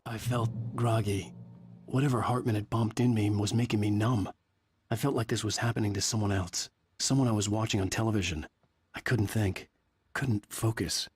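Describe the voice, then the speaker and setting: A man's voice: on the low side, steady tone, normal speed, breathy edge. A man playing a troubled, sardonic writer in a video game, speaking in terse, brooding lines with a defiant streak. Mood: numb, brooding. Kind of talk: dramatic game narration and dialogue. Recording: music playing behind the voice.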